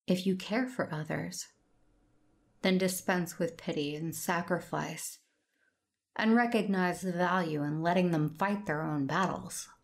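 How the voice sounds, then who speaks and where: Stern female Sith sage, measured and gravelly, very slow, for a sci-fi audio drama. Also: fairly low pitch.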